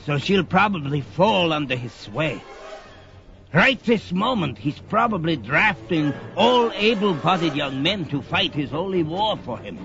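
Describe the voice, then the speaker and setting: A man's voice: on the high side, animated, a little slow. A man playing an aged, deposed wizard in a fantasy audio drama, weary but stubborn, with grave, old-fashioned, theatrical speech. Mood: grave, ominous. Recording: dull lo-fi, loud music underneath. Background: music.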